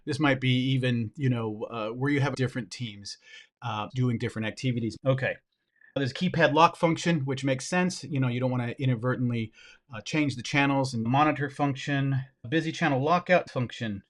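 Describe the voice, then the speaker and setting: A man with a mid-pitched voice presents a hands-on product review. He talks informally and directly to viewers while demonstrating the gear, in the relaxed phrasing of a casual vlog. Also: fairly quick.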